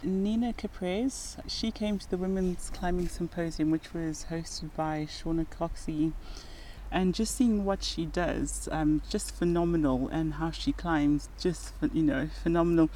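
A woman's voice: fairly low pitch, steady tone, measured pace, dark tone, a little hiss or hum, low-quality recording. A woman with a Zimbabwean accent, a podcast guest talking in an earnest, reflective way and laughing now and then as she tells stories. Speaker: guest, nurse and rock climber. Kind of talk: podcast interview conversation.

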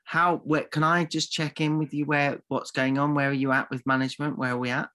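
Bright-voiced teasing man. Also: fairly high pitch, level tone, fairly quick. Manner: teasing, mock-insulting, theatrical. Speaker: podcast co-host. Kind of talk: comic banter.

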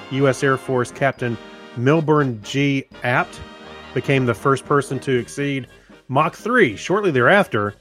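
A man's voice: medium pitch, fairly bright tone. A man co-hosting a podcast, trading easygoing casual banter and reading history items aloud. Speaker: podcast co-host.

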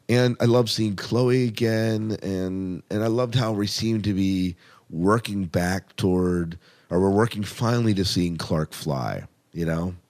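A man's voice: sits low, takes it slowly, a little mellow. A man co-hosting a podcast, casually reviewing TV in an enthusiastic, conversational way and repeating phrases for emphasis. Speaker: podcast co-host.

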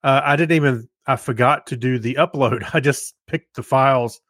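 A man co-hosting a podcast in casual chat, speaking in a halting, easygoing way. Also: mid-range voice, fairly bright tone, no room sound, studio quality. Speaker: podcast co-host. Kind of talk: casual podcast chat, reading listener feedback.

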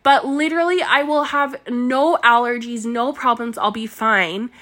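Bubbly woman podcast host, kinda stuffy nose, bright voice, rambles w lots of likes. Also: a little high, fairly even intonation, a little slow. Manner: bubbly, chatty, lots of filler words and laughs. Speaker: podcast host and mindset coach. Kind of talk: solo podcast chat.

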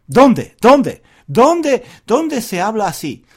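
A man speaks as a teacher to language learners in an informal monologue. His voice sits slightly high and moves up and down with great animation. He keeps the pace slow, repeating phrases and throwing out rhetorical questions theatrically. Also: big pitch swings. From Granada, Andalusia, Spain. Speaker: Spanish teacher, podcast host.

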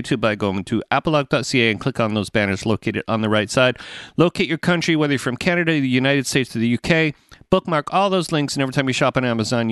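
A man's voice: medium pitch, fairly bright tone, no echo, studio quality. A middle-aged man from England hosting a podcast, chatting casually with many fillers. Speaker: podcast host.

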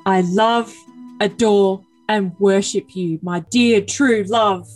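A woman's voice: mid-range voice, fairly even intonation, slow pace, a little mellow. Woman as earnest, possessive boyfriend over music. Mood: pleading. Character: possessive, earnest young boyfriend, calls partner dear. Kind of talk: dramatic comedic reading of text messages.

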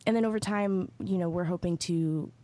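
Woman co-host, lowish mellow voice, friendly easygoing chat. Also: normal speed. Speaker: community manager, co-host. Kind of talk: casual podcast chat.

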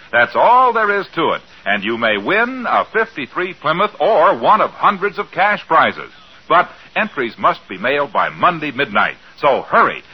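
A male American pitchman in vintage radio style. His voice is fairly high and forceful with a husky edge, selling with emphatic enthusiasm. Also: low-quality recording. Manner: emphatic, upbeat. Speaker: commercial announcer. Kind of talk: 1950s radio ad read, enthusiastic salesmanship. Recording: vintage 1950s radio recording.

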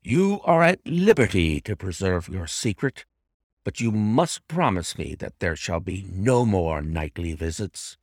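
A man's voice: low voice, dry recording, clean studio sound, pitched below the performer's own voice, full character voice. A man playing a troubled, suspicious husband who speaks formally and insistently in an audiobook mystery. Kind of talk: dramatized audiobook reading of a classic mystery story.

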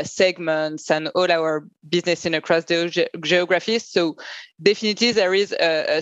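A woman answering on a panel, earnest and halting, with many fillers. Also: fairly low pitch, dry recording. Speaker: panel guest from a bank. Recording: dry, dull lo-fi audio.

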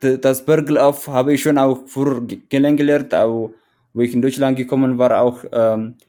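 Man, Eritrean accent, halting speech, many restarts, interview guest. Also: mid-range voice, little pitch movement, warm tone. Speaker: guest, mountain runner. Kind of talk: casual interview.

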